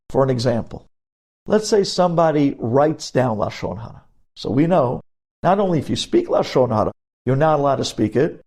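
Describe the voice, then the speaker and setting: Emphatic rabbi teaching, slightly higher-pitched male voice. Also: dark tone. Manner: emphatic, explanatory, repeats key phrases. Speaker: rabbi teaching religious law. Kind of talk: religious lesson with hypothetical examples.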